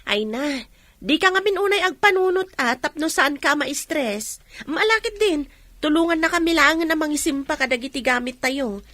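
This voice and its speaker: A woman's voice: sits high, animated, normal speed, fairly bright tone, no room sound. A woman playing a cheerful, helpful companion in an Ilocano radio drama.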